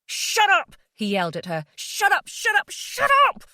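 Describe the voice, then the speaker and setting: A panicked young man, as a woman narrator puts him on, yells and begs by turns. His voice leaps around in pitch. Close, dry recording. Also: lively intonation, moderate pace, no echo, close to an everyday voice. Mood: yelling. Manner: yelling and pleading.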